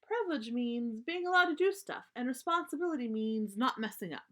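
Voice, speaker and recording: An eager little bear cub girl, played by a woman reading aloud, pitched well up from grown-up talk. She is excitable and insistent, pleading her case like a storybook child. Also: brisk. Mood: eager, confident.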